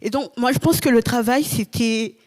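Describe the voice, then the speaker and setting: A woman's voice: fairly high pitch, moderate pace, darkish tone. A young woman, a student, speaking hesitantly at an award ceremony, repeating her words. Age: young adult. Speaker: student prize winner. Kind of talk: spontaneous award speech.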